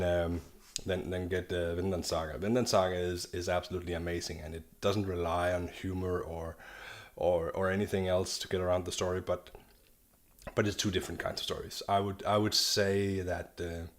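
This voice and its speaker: A low-voiced man talks through a casual manga review. He sounds enthusiastic and rambles, often restarting his sentences. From Denmark. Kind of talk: casual video review monologue.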